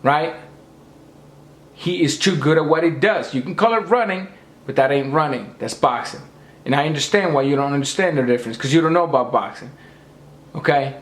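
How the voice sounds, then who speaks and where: A man's voice: a little high, brisk, reverberant. A man losing his voice, ranting emphatically about boxing and lecturing his viewers. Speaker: boxing commentator. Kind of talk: opinionated rant to camera.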